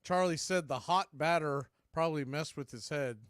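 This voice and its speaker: A man calling a baseball game live in radio play-by-play style, in short clipped phrases between pitches. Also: moderate pace, fairly bright tone, dry recording, studio quality. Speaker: play-by-play baseball announcer and stream host.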